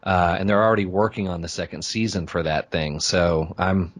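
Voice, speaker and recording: A man's voice: low-pitched, fairly even intonation, normal speed, dry recording. A man chatting casually as an interview guest, easygoing and agreeable, often thinking aloud as he goes. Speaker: podcaster being interviewed as a guest. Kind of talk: casual fan chat interview.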